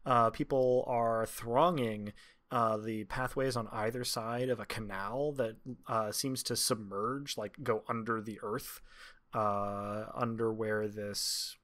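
A man's voice: on the low side, steady tone, slow, a bit bright. A man improvising narration as game master of a tabletop roleplaying game, with descriptive, wordy, literate phrasing.

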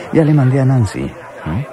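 An actor playing an obliging, sly nightclub waiter in a radio drama, deferential and chuckling, with a Mexican accent. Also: male voice, medium pitch. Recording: loud music and crowd noise behind.

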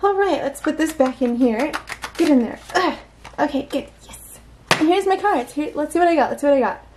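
A woman with a high voice chats casually to herself through an unboxing, prone to giggles and small exclamations. She is recorded indoors at a tabletop, with faint noise behind her. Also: lively intonation, fairly quick. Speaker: unboxing vlogger.

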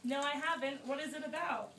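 A woman's voice: high-pitched, fairly quick, a bit bright. A woman playing a simple, curious, friendly farmer in an amateur puppet show. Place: classroom, performers behind a draped table. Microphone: distant camera. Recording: noisy, echoey classroom from far off.

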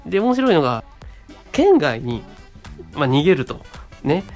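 A man's voice: on the high side, big pitch swings, measured pace, darkish tone, soft background music. A man around thirty co-hosting a casual radio show, talking in short phrases with frequent little tag words.

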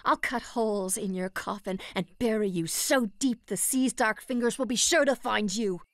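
A woman's voice: on the high side, normal speed, no room sound, studio quality, close to an everyday voice. A woman playing a vengeful young warrior in a video game, intense and accusatory. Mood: bitter accusation. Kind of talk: video game drama acting.

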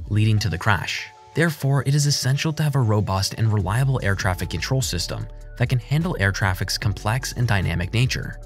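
A man narrates a scripted explainer in a fairly low voice. His delivery is measured and formal, in the manner of a documentary narrator. Faint music plays behind him. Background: background music.

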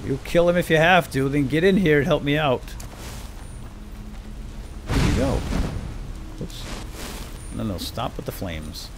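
Animated man playing and commentating. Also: fairly high pitch, unhurried, pauses often, background noise. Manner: playful, talks to game companions and himself. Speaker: let's player. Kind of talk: lightly role-played game commentary. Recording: game sound effects and ambience behind. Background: video game cave ambience and combat.

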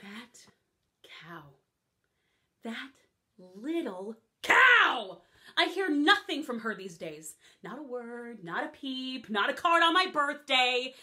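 A high, bright-voiced fairy godmother who gossips and grumbles about going unthanked, as a woman acts her out. Her pitch leaps around as she complains. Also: sing-song, unhurried, few pauses, heavily acted. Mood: aggrieved. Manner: chatty, complaining, indignant. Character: grumbling middle-aged female fairy godmother, put-upon. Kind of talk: comic monologue.

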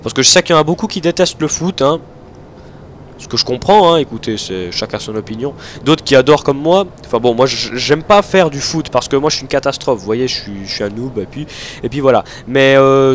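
A man's voice: medium pitch, animated, a little fast. Young male gameplay host, stuffy-nosed, rambling and casual, faint game noise under the voice. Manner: chatty, rambling, many fillers and self-corrections. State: stuffy nose from hay fever. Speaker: gaming video host.

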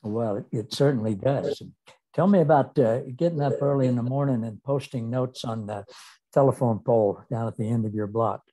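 Husky, mellow older American man, halting. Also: mid-range voice, poor audio. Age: 60s or older. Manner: halting, appreciative. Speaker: interview host. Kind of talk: casual, conversational interview. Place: small indoor room on a video call. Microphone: desk microphone with headset.

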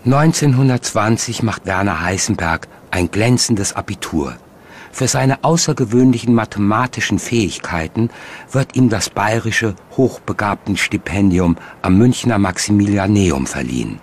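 A man narrating a documentary from a script in a measured, explanatory manner. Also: fairly low pitch, expressive, a little hiss or hum.

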